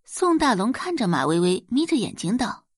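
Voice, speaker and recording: Female story narrator, dramatic read with wide pitch movement, slightly husky, close dry booth sound. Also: big pitch swings, moderate pace, dry recording. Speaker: audiobook narrator.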